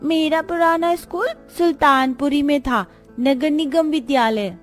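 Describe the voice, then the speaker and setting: A sweet young schoolgirl played by a woman from a cartoon moral story speaks in a very high voice. She is earnest, polite and childlike, with music playing behind her. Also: measured pace. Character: sweet, earnest poor schoolgirl, patient and easily hurt. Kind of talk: Hindi animated moral story dialogue.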